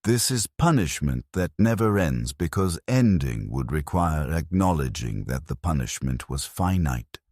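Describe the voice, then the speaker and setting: A man narrating a dark first-person sci-fi story as a bleak, resigned dead convict soldier, in a grave, measured, deliberate way. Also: extremely low pitch, sing-song, dry recording, studio quality. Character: bleak, resigned ghost of a condemned legionnaire.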